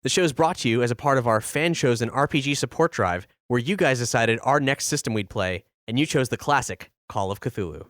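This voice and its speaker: A man's voice: medium pitch, fairly quick, few pauses, fairly bright tone. A man hosting a podcast in a studio, introducing the show and its players to listeners. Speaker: podcast host.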